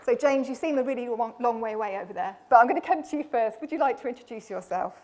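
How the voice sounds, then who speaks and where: A woman with a slightly high voice moderates a live conference panel. She sounds friendly and reassuring and adds light jokes between her introductions. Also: quick pace, darkish tone. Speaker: panel host, charity deputy chief executive. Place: conference room with audience.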